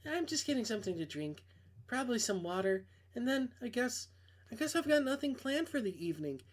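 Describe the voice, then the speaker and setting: A man voicing an anxious, self-conscious young woman in a visual novel, hesitant and halting. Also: extremely high pitch, big pitch swings, pitched above the performer's own voice. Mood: bashful. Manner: hesitant, halting, brushing things off.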